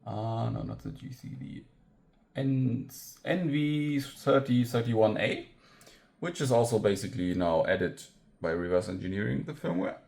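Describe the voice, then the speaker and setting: A man's voice: lowish voice, animated, unhurried, vocal fry, a little mellow. A man giving a casual hands-on tech tutorial, thinking aloud as he demonstrates. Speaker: electronics hacker presenting own project.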